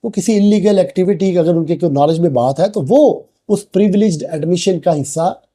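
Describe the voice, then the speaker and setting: A man's voice: high voice, normal speed, mellow. A man with a Pakistani accent lecturing didactically, explaining point by point and mixing in English legal terms. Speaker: law lecturer.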